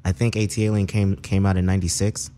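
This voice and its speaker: A man from Seattle, a musician guest in a radio studio, chatting in a relaxed way, full of "you know". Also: low voice, fast. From Seattle, Washington. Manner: easygoing, lots of fillers like "you know". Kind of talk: relaxed interview conversation.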